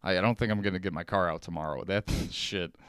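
An American man with a low, smooth voice talks casually as a solo podcast host. He rambles along in loose, slangy phrasing. The sound is dry and close, with very little room in it. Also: quick pace, a bit bright, no echo. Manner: rambling, informal, slangy, peppered with profanity. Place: at a kitchen table at home.

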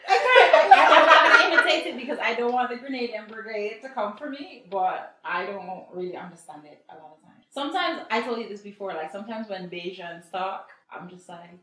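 A woman's voice: mid-range voice, animated, brisk, hardly any pauses, echoey room, recorded from a distance, noisy background. A woman with an Antiguan accent chatting casually and easily. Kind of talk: casual chat.

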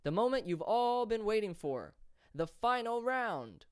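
A man voicing a male arena announcer who makes loud, drawn-out proclamations. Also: high-pitched, big pitch swings, dry recording, studio quality, pitched above the performer's own voice. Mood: grandly proclaiming.

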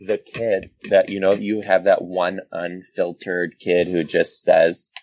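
A man, a teacher, telling comic stories casually as a guest, with dramatic pauses and acted-out quotes. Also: deep voice, level tone, darkish tone.

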